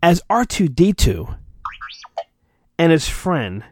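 A man's voice: on the high side, big pitch swings, takes it slowly, a little mellow, soft background music. A man hosting a podcast, giving a mock-dramatic storybook reading with theatrical, self-mocking asides. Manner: theatrical, self-mocking, often breaks off to mutter. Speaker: podcast host and reader.

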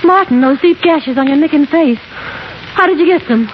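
Young fiancée, high-pitched, anxious, insistent, urgent questions, muffled 1950s radio drama recording. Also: female voice, expressive, normal speed, slight background noise. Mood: worried. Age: young adult. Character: insistent, anxious fiancée in her early twenties.

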